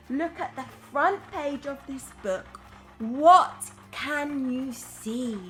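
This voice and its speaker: A woman primary school teacher reading a story aloud to young children in an enthusiastic, expressive way, chatting to them between lines. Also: high-pitched. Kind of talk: children's storytime read-aloud with asides to the audience.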